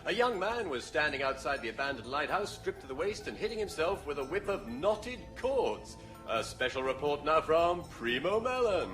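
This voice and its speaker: An actor in a radio drama playing a male radio newsreader with a crisp, formal delivery. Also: on the high side, sing-song, normal speed, fairly bright tone, soft background music.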